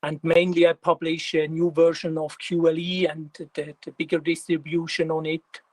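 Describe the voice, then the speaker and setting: Swiss German man in his fifties, non-native English, presenter type; higher voice, flat delivery, dry close sound. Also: dry recording, poor audio. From Switzerland. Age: 50s. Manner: unhurried, with frequent pauses and fillers. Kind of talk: technical presentation.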